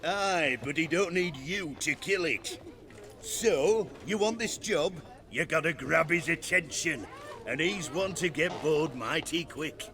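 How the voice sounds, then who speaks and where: An actor playing a rough, canny bandit lieutenant in a fantasy game, wry, commanding and colloquial. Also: male voice, a little high, takes it slowly, a crowd in the background. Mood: advising.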